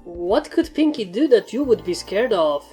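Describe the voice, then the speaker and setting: A woman's voice: medium pitch, big pitch swings, normal speed, dark tone, husky, faint music behind, pitched above the performer's own voice. A woman voicing a sensible, reassuring young female unicorn pony in a story reading.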